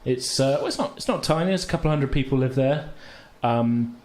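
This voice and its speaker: A man's voice: mid-range voice, fairly quick, darkish tone. A man hosting a tabletop role-playing game as game master, narrating in a casual, loosely improvised way. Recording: noisy room ambience.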